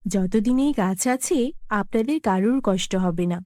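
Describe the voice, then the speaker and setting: A woman voicing a kind, selfless magical tree in a children's fairy tale, speaking earnestly and gently. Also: mid-range voice, lively intonation, measured pace, no room sound, clean studio sound, pitched below the performer's own voice, lightly acted.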